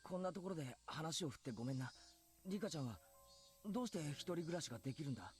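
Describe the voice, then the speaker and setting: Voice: mid-range voice, lively intonation, racing, a little mellow, natural voice. An actor playing a polite, inquisitive teenage boy in a visual novel. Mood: apologetic, curious. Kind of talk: Japanese visual novel voice acting. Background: game music.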